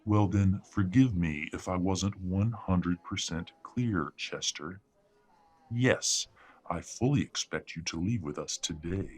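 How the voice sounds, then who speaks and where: A man's voice: sits low, takes it slowly, husky, full character voice. A man playing a middle-aged, imperious werewolf patriarch in an audio drama, speaking formally and condescendingly as he controls his family. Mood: controlling, patronizing. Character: imperious wealthy werewolf patriarch, coldly polite, controlling father. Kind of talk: scripted audio drama acting.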